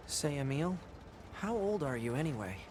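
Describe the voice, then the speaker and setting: A woman voicing a calm, steady female android warrior in a video game, with a cool, even tone. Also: low voice, moderate pace. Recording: game audio with clear background noise.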